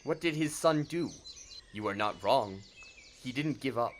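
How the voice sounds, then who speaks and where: A man's voice: medium pitch, animated, a little slow, slight background noise. A man reading scripted story narration for an audio drama.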